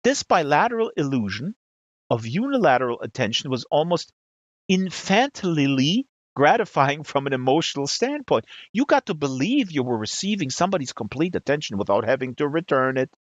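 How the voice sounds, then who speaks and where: A man with a fairly high voice reads satirical fiction aloud. His intonation swings widely, and he places his emphasis deliberately. The recording is dry and slightly dull. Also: sing-song, dry recording. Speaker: reader of literary excerpts. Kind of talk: reading a satirical novel aloud.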